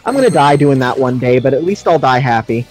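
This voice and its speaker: A man chatting casually on a gaming livestream in a rambling, excitable, sweary way. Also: medium pitch, fairly quick, rough recording. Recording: music behind the voice. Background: music.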